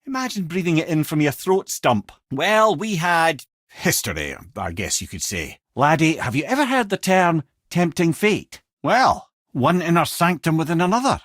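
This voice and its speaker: An actor playing a wise, witty old Scottish storyteller and advisor in a video game, speaking in an eloquent, theatrical and wry way. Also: male voice, a little high, very animated, moderate pace, a bit bright, no echo, studio quality.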